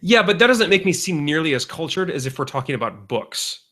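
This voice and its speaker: A young man co-hosting a podcast, rambling through deadpan jokes in casual banter. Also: a little high, fairly quick. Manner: deadpan joking, rambling with restarts. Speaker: podcast co-host.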